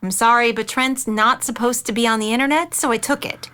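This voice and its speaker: A woman's voice: a little high, steady tone, a little fast, bright, forceful tone, clean tone. A woman playing an anxious, caring middle-aged aunt and mother, earnest and pleading, in an audio drama. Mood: explaining, defensive.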